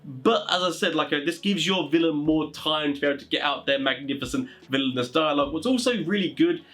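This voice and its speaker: A man's voice: fairly high pitch, brisk, a bit bright. A man talking informally as a host giving tabletop game advice, in a chatty, rambling, enthusiastic way.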